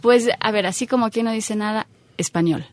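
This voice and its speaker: A woman's voice: fairly high pitch, a little fast, noisy background. A woman hosting a radio interview from a studio booth, chatty, with many fillers and restarts. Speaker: radio show host.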